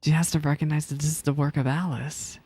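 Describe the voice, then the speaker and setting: A woman's voice: low-pitched, fairly even intonation, fast talker, dark tone, husky. A woman casually commenting as she reacts to a game story.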